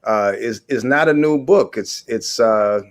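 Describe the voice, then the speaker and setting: A man in his forties from Guyana, a historian answering interview questions in a halting way, with many fillers and restarts. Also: mid-range voice. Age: 40s. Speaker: guest historian.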